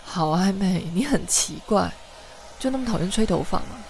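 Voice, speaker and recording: A woman playing an earnest, tender, easily flustered female bar manager in a radio drama, speaking hesitantly with breathy murmurs. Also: mid-range voice, lively intonation, normal speed, a little mellow, slight background noise. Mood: puzzled.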